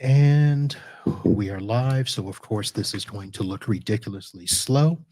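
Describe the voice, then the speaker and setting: A man with a lowish voice talks through a live screen-share demo at his computer, chatty and loose, often correcting himself mid-sentence. The sound is dry and close, with no room echo. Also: measured pace, darkish tone. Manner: chatty, self-correcting, thinks aloud while clicking. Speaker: podcast host reviewing network gear.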